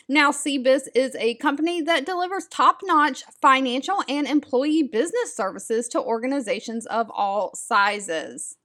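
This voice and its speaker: Woman, high-pitched voice, online video host, informational narration, upbeat, encouraging, direct address to viewers. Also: a bit bright. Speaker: video host sharing remote job leads. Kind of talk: scripted-sounding informational vlog narration.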